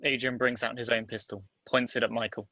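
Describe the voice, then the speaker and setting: A man reading stage directions as scripted dramatic narration, in a measured, terse and deliberate way. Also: medium pitch, level tone, pushed and bright, no echo.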